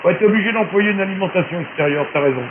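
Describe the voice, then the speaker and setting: A man's voice: high-pitched, rapid-fire. Man on narrow, hissy shortwave radio. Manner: colloquial, chatty explaining, frequent tag words. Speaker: amateur radio operator. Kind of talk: casual radio chat with technical advice.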